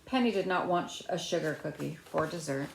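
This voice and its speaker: A woman's voice: deep voice, fairly quick, vocal fry, slight background noise. Woman reading a storybook in a roomy space. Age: middle-aged. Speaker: storybook reader. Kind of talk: children's picture book read-aloud. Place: small room at home by a window, indoors. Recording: roomy, echoey indoor sound.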